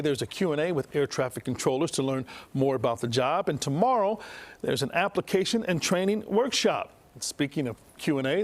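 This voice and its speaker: An American man working as a news anchor, reading and interviewing in broadcast style. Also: a little high.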